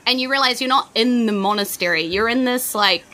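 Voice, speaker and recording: Kiwi lady dm describing a vision, bright clear voice, lots of likes. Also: fairly high pitch, a little fast. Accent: New Zealand. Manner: vivid, searching descriptions full of "like" and "you know". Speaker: game master. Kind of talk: describing scenes for a tabletop game.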